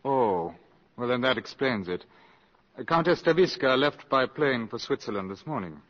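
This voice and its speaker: An actor playing a suspicious embassy official who probes casually and hesitantly in a 1950s radio drama. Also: male voice, medium pitch, expressive, moderate pace, lightly acted. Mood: suspicious, probing. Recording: muffled 1950s radio broadcast.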